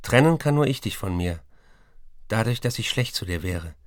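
An actor as an intense, low-voiced tender writer. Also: male voice, expressive, normal speed, no room sound, clean studio sound, natural voice. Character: German male writer, intense, tender and needy. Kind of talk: radio play, intimate letter reading and recollection.

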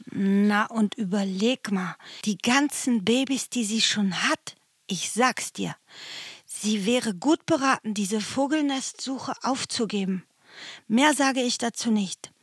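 A woman playing a prim, scandalised gossip of a wife with a breathy, husky voice in a radio play. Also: fairly high pitch, slow pace, no echo.

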